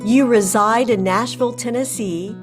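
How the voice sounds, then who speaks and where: A woman hosting a news program, asking measured, scripted interview questions. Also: fairly high pitch. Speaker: news host. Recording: music bed underneath.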